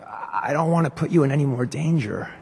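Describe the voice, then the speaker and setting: A man playing a timid, earnest escaped synthetic human in a video game, hesitant and soft-spoken, with a breathy, creaky voice. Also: a little high, brisk, big-room sound, slight background noise. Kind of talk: video game character dialogue.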